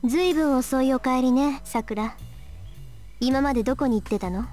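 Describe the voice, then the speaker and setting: A woman's voice: high voice, fast talker, warm tone, soft background music, close to an everyday voice. An actress playing a stern, confrontational teenage girl in a Japanese visual novel. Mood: cool reproach.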